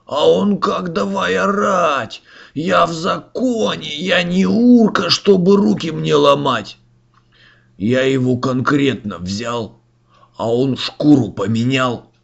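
Man voicing skinny stoned fairy-tale villain, raspy fry, slow slangy drawl, rhymed comedy, muffled audio. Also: medium pitch, heavily acted.

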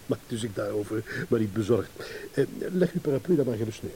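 A man's voice: lowish voice, lively intonation, normal speed. An actor in a radio play playing a self-assured businessman, courteous and indulgent in a patronising way, yet guarded. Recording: hissy old radio play recording.

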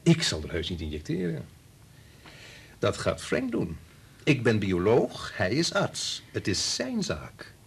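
An actor playing a cynical, pushy husband and scientist in a radio play, sardonic and dismissive. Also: male voice, on the low side, wildly swooping pitch, slow pace, creaky, slightly rough, heavily acted. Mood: pushy, persuasive. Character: cynical, pushy biologist husband and father, belittling. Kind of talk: Dutch radio play acting.

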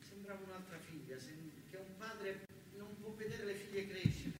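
A man with a southern Italian accent delivers a theatrical monologue in a colloquial way, circling back on phrases for emphasis. His voice is fairly high. It reaches us from a distance in a very reverberant space, under loud noise. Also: moderate pace. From southern Italy. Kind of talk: theatrical first-person narrative monologue with acted dialogue. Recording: very noisy, echoing distant stage recording.

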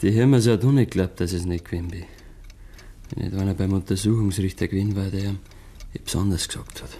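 A weary, uneasy Bavarian husband in a 1950s radio drama. His voice is low and muted, with a dark, mellow colour. He mutters haltingly in dialect. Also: fairly even intonation. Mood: weary, bitter.

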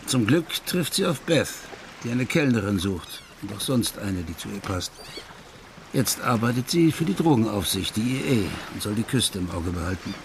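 A man's voice: fairly low pitch, big pitch swings, moderate pace, slight background noise. A man playing an unruffled fellow who explains things casually in a radio play. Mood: explaining, unruffled. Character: man casually explaining a woman's criminal past.

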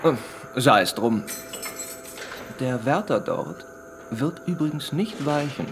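Halting, slow-spoken prisoner. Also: male voice, medium pitch, sing-song, background noise. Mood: halting, bitter. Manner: halting, stilted. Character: imprisoned husband, halting, bitter, formally polite to his wife. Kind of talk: radio drama acting.

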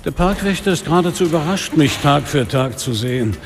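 An actor playing a weary, sardonic middle-aged police inspector in a noir radio drama, speaking drily and broodingly. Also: male voice, a little high, lively intonation, a little fast. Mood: bitter, wry. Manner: dry, sarcastic, brooding. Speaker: actor, first-person narrator in a radio play. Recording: studio radio drama with music underneath.

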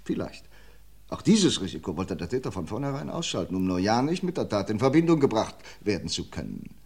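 A shrewd police inspector. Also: male voice, fairly low pitch, fairly quick, breathy edge, heavily acted. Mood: menacing. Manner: theatrical, swings from excited outbursts to quiet menace. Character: shrewd, dogged male police inspector, middle-aged. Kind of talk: 1950s-60s radio crime play acting.

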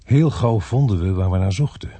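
A weary police inspector in a radio drama. His voice is husky with lively intonation. He speaks in a dry, curt, sceptical way. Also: lowish voice, moderate pace. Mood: matter-of-fact. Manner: curt, dry, matter-of-fact. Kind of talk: radio play acting and narration.

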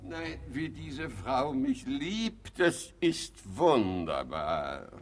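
A man's voice: mid-range voice, big pitch swings, very slow, faint music behind. An actor playing a fussy, self-pitying hypochondriac father and doting husband in a theatrical German radio comedy, by turns blustering and plaintive. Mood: doting, needy.

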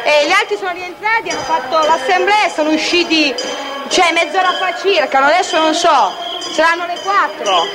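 High-voiced woman speaking haltingly over music. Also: a crowd in the background. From southern Italy. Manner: halting, repeats words. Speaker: striking car factory worker. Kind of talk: spontaneous spoken testimony. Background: clear music.

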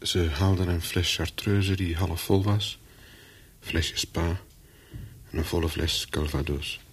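A man's voice: low-pitched, fairly even intonation, slow, breathy edge, heavily acted. An actor in a radio play as a nervous, guarded man on the run, speaking tersely and narrating his own story. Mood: brooding narration. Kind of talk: radio play acting with first-person narration.

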